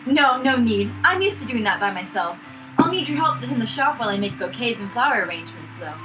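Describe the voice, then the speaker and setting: A motherly, teasing florist from a visual novel as a woman acts her out. The voice is fairly high with lively swings, and music plays behind it. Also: fairly quick, rough recording. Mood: reassuring.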